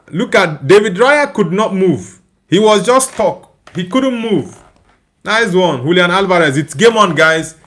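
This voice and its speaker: A young man from Nigeria hosts a live football watchalong. His voice sits a little high for a man. He talks in a loose, chatty way and laughs easily while reading out viewer comments. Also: a bit bright. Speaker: watchalong streamer. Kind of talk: casual live match reaction and chat reading.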